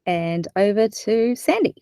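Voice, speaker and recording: A woman from Fiji, a development manager, introducing herself on a video call in a brisk, matter-of-fact way. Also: mid-range voice, expressive, warm tone, clean tone, dry recording, studio quality.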